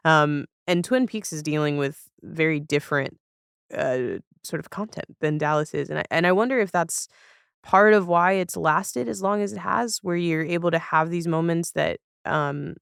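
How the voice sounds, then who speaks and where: A woman's voice: low-pitched, normal speed, gravelly, smooth, dry recording, clean studio sound. A woman chatting casually as a podcast guest, thoughtful and often searching for words as she talks about television.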